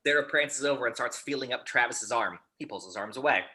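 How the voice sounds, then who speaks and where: A man's voice: medium pitch, fast talker, sharp tone. A man reading stage directions aloud as the narrator of a script.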